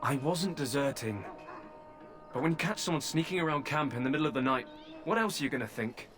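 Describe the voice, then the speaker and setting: Caged deserter, hungry and cheeky; wry, wheedling delivery. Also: male voice, on the high side, expressive, fairly quick, fairly bright tone, faint music behind. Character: hungry, flirtatious male prisoner, a deserter. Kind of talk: video game voice acting.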